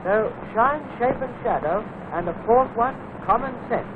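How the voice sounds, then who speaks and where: British male narrator, wartime instructional style, clipped and direct, very animated delivery, noisy narrow-band film sound. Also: extremely high pitch, a little slow. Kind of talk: scripted 1940s military instructional narration.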